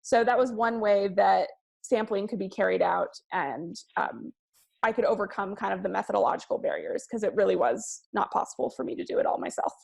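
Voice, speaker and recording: A woman giving a presentation on research methods, speaking in a measured way with frequent ums and slowing down to stress key words. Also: medium pitch, lively intonation, creaky, a little mellow. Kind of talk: presentation to a class.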